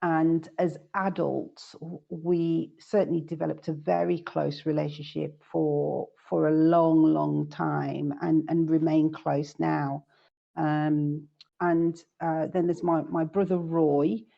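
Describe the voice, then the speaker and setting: A woman's voice: low voice, little pitch movement, slow pace, gravelly. A woman being interviewed, recalling her childhood in a hesitant way, with many ums and restarts. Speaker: guest. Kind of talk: casual interview chat. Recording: dull lo-fi sound.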